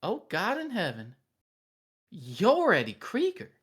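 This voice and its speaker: A woman's voice: medium pitch, huge pitch swings, slow, slightly rough, exaggerated voice. A woman playing a friendly, persistent hiker in a table read, talking in a casual, folksy way. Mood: friendly, explaining.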